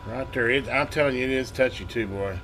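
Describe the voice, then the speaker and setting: A man's voice: mid-range voice, a little fast, fairly bright tone, rough recording. A man hosting a hands-on electronics repair video, explaining step by step in an informal way as he works. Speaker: host of a hobby electronics repair channel. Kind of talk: casual hands-on demonstration. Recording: clear background noise.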